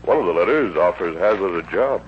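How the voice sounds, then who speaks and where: An actor playing a resolute masked lawman in a radio western, with husky, measured, commanding speech and formal diction. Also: low voice, expressive, a little hiss or hum. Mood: calm, resolute. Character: resolute masked lawman hero of the Old West. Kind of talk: 1950s radio western acting. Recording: dull old radio recording.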